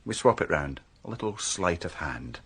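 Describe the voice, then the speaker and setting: A shrewd senior detective in a radio crime drama. His voice is low and husky. He lays things out in a measured, assured way, sure of himself. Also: very animated, fairly bright tone, exaggerated voice. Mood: sly, confident. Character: shrewd, confident male senior detective planning a trap. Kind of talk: naturalistic radio drama dialogue.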